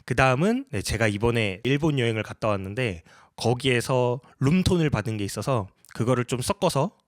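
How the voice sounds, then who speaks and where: A man's voice: mid-range voice, expressive, brisk. A man narrating a casual tutorial as a sound designer, explaining each step politely.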